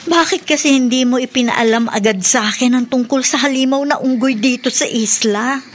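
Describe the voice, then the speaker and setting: Actress as brave worried mother, fairly high voice, radio horror drama, Filipino accent, faint noise. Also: normal speed, lightly acted. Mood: concerned. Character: concerned, brave mother, scientist's younger sister.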